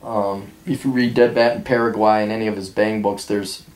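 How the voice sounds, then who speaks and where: A man hosting a podcast, answering listener questions in a blunt, sarcastic way and mocking some of them in high put-on voices. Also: little pitch movement, brisk, a little mellow, echoey room, rough recording. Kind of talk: blunt casual monologue reading out and answering listener mail.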